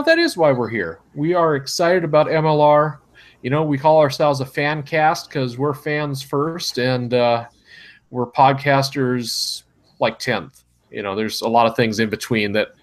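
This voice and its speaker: A man in his thirties hosting a casual podcast, upbeat and a little rambling, speaking into a headset mic in a small room at home. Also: medium pitch. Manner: upbeat, rambling, filler-heavy. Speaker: podcast host.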